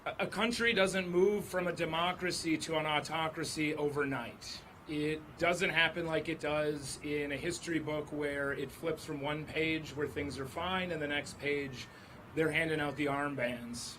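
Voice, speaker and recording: A hoarse man, a state senator, speaking at an outdoor press conference, stacking up a long list of grievances. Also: a little high, fairly even intonation, a little slow, pushed and bright, slight background noise, rough recording. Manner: building lists of grievances.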